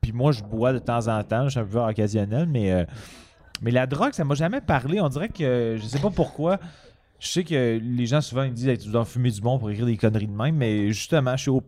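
A man's voice: mid-range voice, a little mellow, a little hiss or hum. A man with a Quebec French accent, talking casually and humorously as a guest in a conversation.

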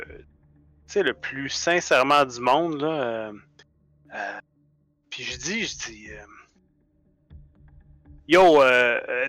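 Playful bright-voiced player. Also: male voice, a little high, no echo. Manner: playful, boastful, stammering restarts. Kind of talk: playing a character in a tabletop game.

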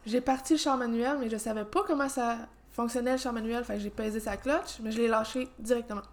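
A woman with a Québécois accent, a podcast guest telling a lively story in casual chat. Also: a little high, quick pace. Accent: Québécois French.

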